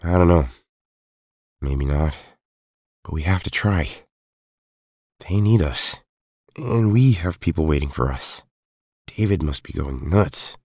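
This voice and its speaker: A man acting in an audio drama as an earnest, weary young resistance fighter, speaking in a grave, measured way. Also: very deep voice, animated, darkish tone, husky, no room sound, studio quality, full character voice.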